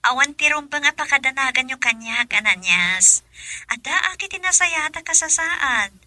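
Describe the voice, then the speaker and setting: A woman acting a troubled, secretive young woman in a radio drama, breathy and hesitant. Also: high voice, animated, moderate pace, sharp tone, dry recording, exaggerated voice. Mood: evasive, cold.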